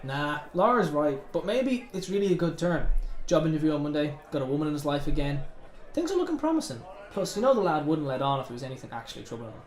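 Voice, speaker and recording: An actor playing a settled, good-natured pub mate in a radio drama, bantering with a British accent. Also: male voice, a little high, sing-song, fast. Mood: concerned. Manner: matey, teasing banter. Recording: echoey room.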